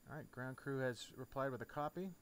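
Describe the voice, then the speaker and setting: A man's voice: medium pitch, brisk. A man presenting an informal step-by-step game tutorial in a casual, instructional way. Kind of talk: casual step-by-step tutorial narration over a flight simulator. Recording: clear background noise. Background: steady noise, game sound off.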